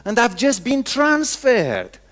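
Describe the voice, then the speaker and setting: Male pastor, Romanian accent, high voice, sermon delivery, emphatic broken phrasing, repetition for weight. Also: wildly swooping pitch, slow, fairly bright tone.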